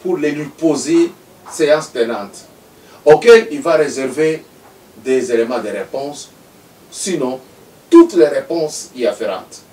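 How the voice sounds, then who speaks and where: A man's voice: mid-range voice, animated, measured pace, darkish tone. A man from Congo hosting a TV interview, formal and emphatic. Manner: formal, emphatic, speaks in sweeping phrases. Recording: echoey room, mic far off, faint noise.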